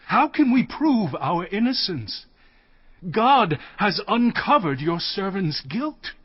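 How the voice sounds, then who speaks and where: An actor playing an earnest, humble grown son pleading before a lord in a dramatized scripture recording. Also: male voice, high voice, sing-song, takes it slowly, no echo, full character voice. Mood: anguished, resigned. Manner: pleading, deferential, emotive. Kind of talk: dramatized scripture audio acting. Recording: slightly dull recording under music. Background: clear background music.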